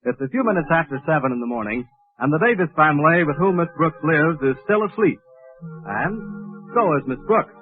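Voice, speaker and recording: A man's voice: a little high, animated, fairly quick. A man narrating a scripted 1940s radio comedy as its announcer. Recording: tinny old radio recording with music. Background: music.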